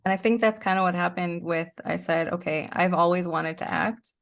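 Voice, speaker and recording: A woman's voice: on the low side, steady tone, moderate pace, gravelly. A woman speaking as a guest in a casual interview, candid and self-deprecating. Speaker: interview guest, actor and writer.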